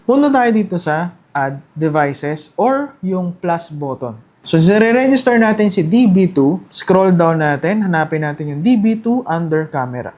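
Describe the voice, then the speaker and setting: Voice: medium pitch, expressive, measured pace, darkish tone, faint music behind. A presenter with a Filipino accent explains a product step by step in a casual, conversational and instructional way.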